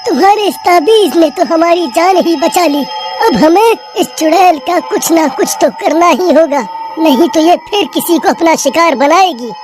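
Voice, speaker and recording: A man's voice: very high-pitched, moderate pace, over music. A man voicing a bossy, practical cartoon friend who speaks in an urgent, scolding way. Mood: resolute. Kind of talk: cartoon voice acting.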